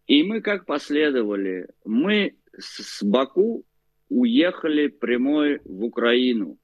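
A man in his forties from Azerbaijan, a guest telling his life story in a casual interview, halting with many fillers. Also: medium pitch, big pitch swings, dry recording, dull audio. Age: 40s.

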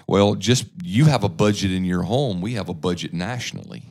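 A man in his thirties with a deep, low voice, making his points like a preacher on a church podcast. He talks earnestly and often restarts a sentence partway through as he works out the thought. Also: brisk, a little mellow. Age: 30s. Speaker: podcast host.

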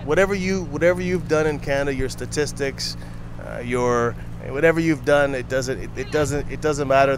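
Monotone man talking by busy traffic. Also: a little high. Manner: monotone, rambling with repeated restarts. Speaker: podcast co-host. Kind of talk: casual football talk. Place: outdoors on a bench by a seawall. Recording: outdoor traffic noise. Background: traffic and city sounds.

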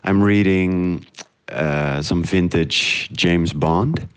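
A man from the Netherlands, a podcast creator chatting casually as a guest in halting phrases. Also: sits low, smooth, no room sound. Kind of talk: casual chat.

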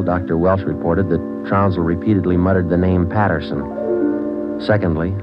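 A man voices a clipped, deadpan police sergeant in an old radio crime drama, his voice very low. The sound is dull and muffled like an old broadcast transcription, with loud music underneath at times. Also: close to an everyday voice. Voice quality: flat, matter-of-fact delivery. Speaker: detective sergeant and narrator. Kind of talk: 1950s radio drama acting.

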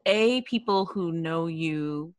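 A woman hosting a podcast, chatting casually in an animated way, full of "like". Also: lowish voice, takes it slowly, no echo, studio quality. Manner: animated, lots of "like". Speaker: podcast host. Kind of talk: casual chat.

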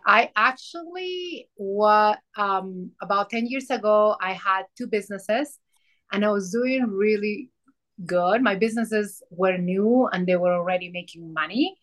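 A woman with a Peruvian Spanish accent speaks as a podcast guest. She tells her story at an easy, unhurried pace, with frequent fillers and small restarts. Her pitch sits in a normal middle range. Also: a bit bright. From Peru. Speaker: guest, soul alignment mentor. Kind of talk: casual podcast conversation.

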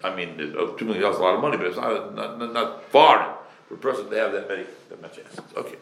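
A man's voice: lowish voice, fast, creaky, fairly bright tone. Emphatic man preaching in an echoey room. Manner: emphatic, rhetorical questions, repeats phrases for effect; mixes in Hebrew and Yiddish terms. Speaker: rabbi giving a Torah lecture. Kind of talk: sermon to a live audience.

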